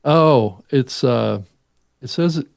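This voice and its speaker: A man's voice: mid-range voice, drawn-out words, creaky, no room sound, studio quality. A man talking casually as the co-host of a podcast. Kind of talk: casual chat.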